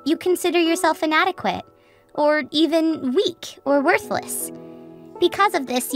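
Woman as a high-voiced, calm monster therapist. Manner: measured, formal, encouraging. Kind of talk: animated comic dub voice acting.

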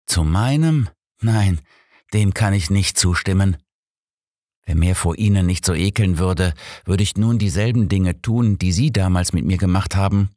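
A man's voice: sits low, a little mellow, dry recording, studio quality. A man voicing a sadistic, vengeful killer who speaks with cold scorn and mockery in an audiobook thriller. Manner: scornful, taunting. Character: sadistic, vengeful male killer, coldly mocking.

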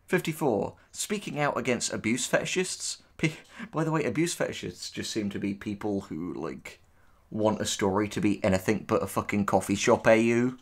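A man's voice: on the low side, expressive, moderate pace. A man co-hosting a casual reaction show, reading posts aloud and commenting with sarcasm and swearing. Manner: sarcastic, profane. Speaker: co-host, audio drama writer.

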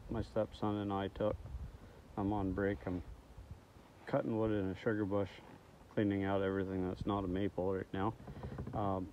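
Guy in his 30s rambling to his phone out in the woods, lowish voice, tinny with faint noise behind. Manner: plain, rambling, frequent ums. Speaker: outdoors vlogger. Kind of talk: casual vlog to camera. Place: outdoors in a forest. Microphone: phone held at arm's length.

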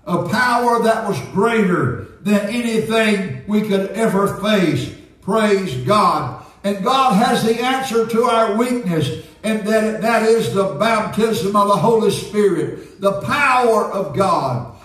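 Old guy preaching in church, slow talker, super echoey and far from the mic. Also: high voice, lively intonation, fairly bright tone. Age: 70s. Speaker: pastor. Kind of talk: Pentecostal sermon, preaching and reading aloud. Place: church sanctuary, indoors at a pulpit. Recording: very echoey church sanctuary, distant sound.

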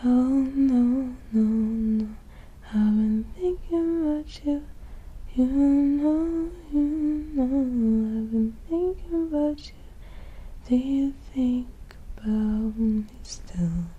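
A woman sings in a soft, breathy, sleepy ASMR style, gentle and often close to a whisper. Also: high voice, animated, drawn-out words, lo-fi sound. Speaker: ASMR performer.

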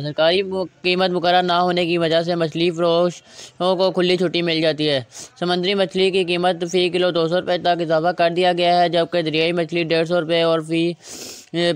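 A young man from Pakistan hosting a vlog, narrating facts about a fish market in a steady, formal way. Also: high voice, clear, resonant tone, no echo. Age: young adult. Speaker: vlog host.

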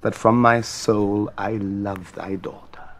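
An actor playing a ruthless, smooth-tongued scheming king in theatrical Shakespearean verse. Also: on the low side, fairly even intonation, slow pace. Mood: smooth, persuasive. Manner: theatrical, declamatory. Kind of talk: Shakespearean stage-style verse acting.

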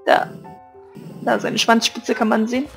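A woman's voice: fairly high pitch, flat delivery, unhurried, gravelly, faint music behind. A woman hosting a casual let's play, chatting playfully and giggling with her co-player. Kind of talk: casual gaming commentary.

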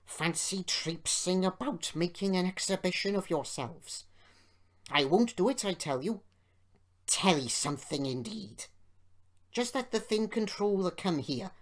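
A woman's voice: lowish voice, animated, measured pace, pitched above the performer's own voice. A woman voicing a pompous, indignant, grumbling old male steam engine in a children's storybook.

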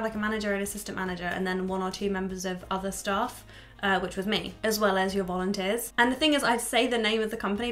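A woman's voice: medium pitch, fairly bright tone. Chatty stuffy-voiced young woman. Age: 20s. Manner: chatty, candid, swears freely, laughs often. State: stuffy from a cold. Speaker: YouTuber. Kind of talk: casual chat to camera. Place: small indoor room at home.

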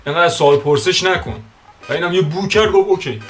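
A young man hosting a reaction video from a small bedroom, making quick joking asides with laughter. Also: on the high side, animated, fairly bright tone, faint music behind. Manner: rapid, jokey, laughing asides. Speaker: reaction channel host. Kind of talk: casual reaction commentary. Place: small bedroom with wall tapestry.

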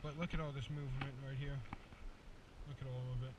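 A man's voice: medium pitch, fairly quick. Young male fishing vlogger, jokey and chatty, action cam outdoors, audible wind noise. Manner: chatty, jokey, bursts into loud excited cheers. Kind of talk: casual outdoor fishing commentary. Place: outdoors at a pond. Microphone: head-mounted action camera. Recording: distant mic with outdoor noise.

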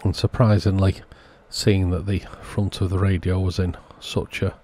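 A man with a very deep, husky voice and a soft, dark tone talks through a hands-on repair. He keeps it understated and matter-of-fact, explaining each step as a channel host would. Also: level tone, moderate pace. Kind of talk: hands-on repair walkthrough narration.

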